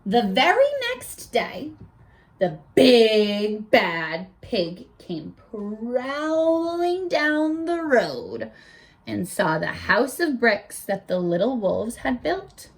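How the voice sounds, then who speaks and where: A teacher reading a picture book aloud to children, animated and stopping often to ask them questions. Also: female voice, a little high, extremely slow.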